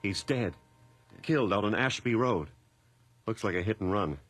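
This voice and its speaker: A man's voice: on the low side, animated, moderate pace, a bit bright, no echo, soft background music. A man acting in a radio drama as an anxious small-town mayor and father, flustered and defensive. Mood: grave, uneasy.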